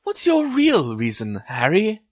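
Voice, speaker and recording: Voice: mid-range voice, huge pitch swings, measured pace, dry recording, muffled recording, pitched above the performer's own voice. A reader voicing a teenage girl witch, a bossy and teasing best friend who speaks in emphatic exclamations, for an audio story. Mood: incredulous.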